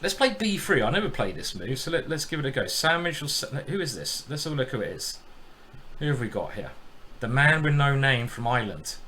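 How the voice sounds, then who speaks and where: Bright-voiced chatty male chess streamer. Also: medium pitch, very animated, brisk, slight background noise. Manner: chatty, jokey, greets viewers while thinking aloud. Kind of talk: casual live-stream game commentary.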